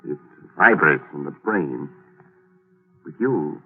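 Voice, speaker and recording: An actor playing a grave, obsessed scientist in a 1940s radio drama, speaking in deliberate, portentous phrases. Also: male voice, rumbling low, lively intonation, phone-quality sound, exaggerated voice. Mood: ominous. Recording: phone-thin 1940s radio broadcast.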